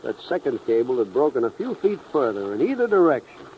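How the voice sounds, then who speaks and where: A man's voice: mid-range voice, sing-song, quick pace, slight background noise, natural voice. Male actor as subdued, troubled American, 1950s radio drama, mid-range voice, thin phone-like sound. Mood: regretful. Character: troubled, regretful townsman talking with the sheriff.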